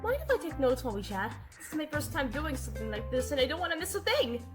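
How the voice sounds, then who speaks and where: A woman voicing a loud, bubbly Canadian goose office consultant with pushy, chirpy corporate pep in an animated comic dub. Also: high-pitched, fast talker, music in the background. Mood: eager.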